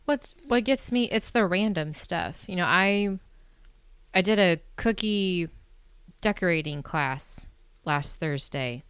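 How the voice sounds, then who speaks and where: A woman with a low, smooth voice chats as a podcast guest. Her manner is matter-of-fact and dry, with light joking. The sound is close, with no room echo. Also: lively intonation, slow, fairly bright tone. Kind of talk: casual chat.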